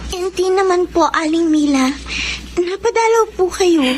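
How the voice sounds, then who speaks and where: A woman's voice: very high-pitched, unhurried, a little mellow, slight background noise. An actress playing a polite young orphaned girl in a radio-style drama, speaking respectfully. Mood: quiet, subdued.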